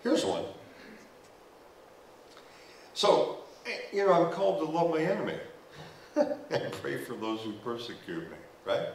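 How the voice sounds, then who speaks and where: A man's voice: a little high, sing-song, normal speed, slight background noise. An older man preaching as pastor on a church stage into a headset mic, conversational with humorous asides. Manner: gestures while walking the stage. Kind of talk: sermon. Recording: roomy church sound from a distance.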